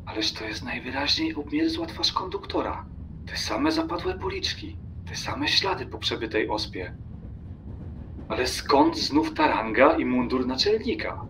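An actor playing an irritable, disdainful lone train traveller in a radio play, haughty and sardonic in manner. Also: male voice, a little high, wildly swooping pitch, unhurried, exaggerated voice. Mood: cold, irritated. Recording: faint ambient noise behind.

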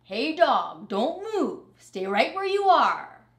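A woman voicing a grumpy, bossy rat with a gruff, blunt manner in a children's storybook. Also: high voice, big pitch swings, takes it slowly, a bit bright, roomy sound, pitched above the performer's own voice, heavily acted. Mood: bossy, shouting.

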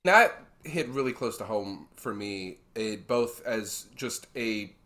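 An American man in his thirties telling personal stories as an interview guest, emphatic and halting, repeating words as he goes. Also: fairly low pitch, expressive, pushed and bright. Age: 30s. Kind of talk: reflective personal storytelling. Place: home kitchen, video call.

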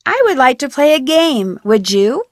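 Young girl detective for a video game, high voice, nosy but polite, very dry recording. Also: sing-song, moderate pace, dry recording. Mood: friendly. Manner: polite, earnest, crisply questioning. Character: inquisitive, persistent young female amateur detective. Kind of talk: video game dialogue voice acting.

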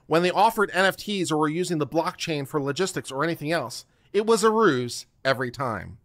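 Theatrical middle-aged man, lively pitch. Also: brisk, dry recording. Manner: theatrical, emphatic, declamatory. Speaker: host of a legal commentary livestream. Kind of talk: dramatic reading of a text aloud. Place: home studio room, close and dry. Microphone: desk microphone close to the mouth.

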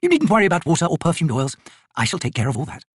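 Grand, flirtatious fantasy sorceress as a male narrator plays her, imperious and lilting, high, very animated delivery. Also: racing, breathy edge, no room sound, studio quality, heavily acted. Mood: breezy, imperious. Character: haughty, teasing noble sorceress, female, flirtatious and grand.

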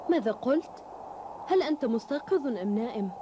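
A woman's voice: fairly high pitch, animated, a little fast, noisy background, close to an everyday voice. A woman playing a dutiful, firm young wife and mother in a cartoon dub, speaking in a formal, earnest way. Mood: puzzled.

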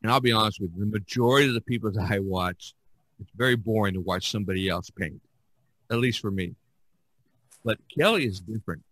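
An American man hosting a casual podcast, speaking in a somewhat halting way. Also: fairly low pitch, a bit bright, dry recording, low-quality recording. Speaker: podcast host. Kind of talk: casual podcast talk with announcing.

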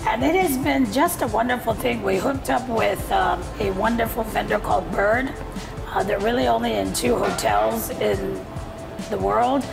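A middle-aged woman talking in an interview in a hotel lounge, lively and quick to laugh. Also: on the high side, vocal fry, over music. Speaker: hotel staff guest. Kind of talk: interview chat.

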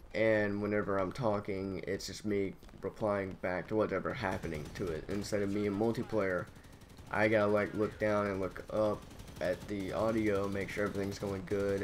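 A young man hosting a gaming channel, talking in a flat, monotone voice and rambling with lots of fillers over gameplay. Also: lowish voice, normal speed, slight background noise. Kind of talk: casual gameplay commentary.

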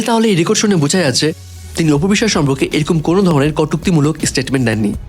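High-pitched, fast Bangladeshi male gossip narrator. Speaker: entertainment news narrator. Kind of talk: scripted gossip news narration. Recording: music bed behind voice. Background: background music.

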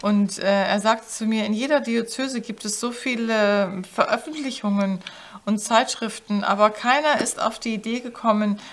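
An older woman interpreting a talk in a studio, halting, with many fillers and self-corrections. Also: fairly high pitch. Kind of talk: consecutive interpreting. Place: studio with backdrop.

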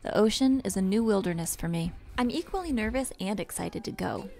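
A woman's voice: mid-range voice, expressive, brisk, vocal fry, warm tone. A woman from Appalachia narrating her move aboard a sailboat in an earnest, reflective way. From Appalachia, USA. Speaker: nurse and co-host of sailing vlog. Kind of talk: personal vlog narration.

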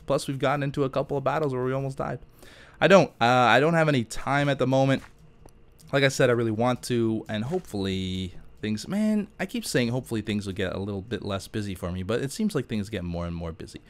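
A man with a mid-pitched voice hosts a gaming let's play in a loose, conversational style. He is informal and jokes at his own expense. Faint game ambience sits behind his voice. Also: slight background noise. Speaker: gaming YouTuber host. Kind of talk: casual chatty let's play commentary.

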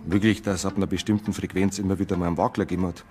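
A man's voice: deep voice, little pitch movement, rapid-fire, a little mellow, soft background music, close to an everyday voice. An actor playing a matter-of-fact male forensic technician with a Bavarian accent in a radio crime drama.

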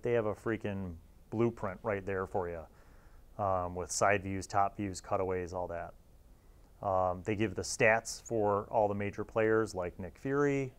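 A man in his forties with a lowish voice talks to camera as the host of a gaming review series. His delivery is casual and rambling, that of an enthusiastic fan looking back fondly. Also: clean tone. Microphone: lapel mic.

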